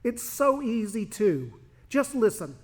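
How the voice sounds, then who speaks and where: An actress playing a peppy, easily exasperated pitchwoman in a parody radio commercial. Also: sing-song, slow pace. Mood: upbeat selling.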